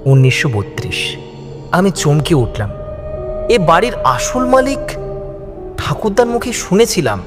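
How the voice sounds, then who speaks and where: Suspenseful male horror narrator over music. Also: mid-range voice. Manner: suspenseful, theatrical delivery. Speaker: audio story narrator. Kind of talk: dramatic first-person horror story narration. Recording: music behind the voice. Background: background music.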